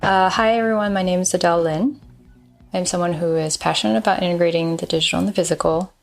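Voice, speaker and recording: A woman in her thirties from Malaysia speaks as a podcast guest, her voice sitting a little low with a gravelly, fry-tinged edge. She talks things through thoughtfully, pausing often to find her words. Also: fairly even intonation, faint music behind. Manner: thoughtful, pauses with frequent ums. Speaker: guest, designer and engineer. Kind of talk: casual interview chat.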